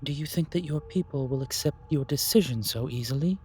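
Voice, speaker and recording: A man playing a calm, wise, otherworldly keeper of magic in a fantasy audio roleplay, speaking formally and ceremoniously. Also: mid-range voice, fairly quick, slight background noise, lightly acted. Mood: calm, questioning.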